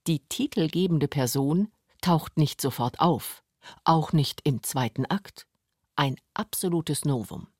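A man's voice: on the high side, expressive, slow, a little mellow, no echo, clean studio sound. A man narrating a scripted radio documentary. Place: dry studio.

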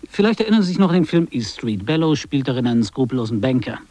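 Radio commentator, cool, skeptical, pointed delivery, close dry studio sound, 1980s radio drama. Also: male voice, medium pitch, big pitch swings, fast, a little mellow, no room sound. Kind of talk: 1980s German radio play acting.

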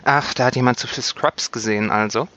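A man of medium pitch with a bright, punchy voice banters as a podcast co-host. His replies are playful and ironic, delivered as loose, casual chat. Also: fairly quick, highs cut off.

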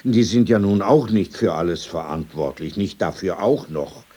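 Irascible old man, deep husky voice, swooping emphatic intonation, opinionated, digressive, radio play monologue. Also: animated, normal speed, warm tone. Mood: dismissive, chatty. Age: older. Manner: digressive, indignant, emphatic, rhetorical questions.

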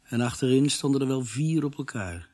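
Man acting husky, sober storytelling narrator. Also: medium pitch, expressive, normal speed, dark tone, lightly acted. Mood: matter-of-fact. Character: sober male narrator recounting a police search he joined. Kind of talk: scripted radio play narration.

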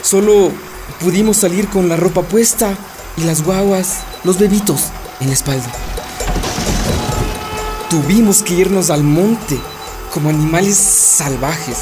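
A woman playing an anguished Amazonian villager, telling her story gravely and with grievance in a radio drama. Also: lowish voice, measured pace, music in the background, natural voice.

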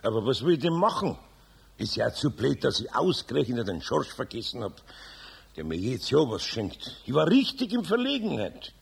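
A man playing a kindly, grumbling older Bavarian master carpenter with a husky, gravelly voice in a children's radio play. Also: medium pitch, sing-song, normal speed, heavily acted. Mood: vexed.

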